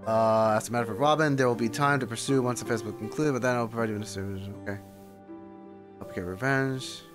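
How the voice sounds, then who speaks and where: Offhand male game streamer, mid-pitched. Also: fairly even intonation, fast talker. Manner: offhand, informal, muttering asides. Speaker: streamer reacting to a game story. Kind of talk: casual live commentary with skimmed read-aloud. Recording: faint game music behind. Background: video game music.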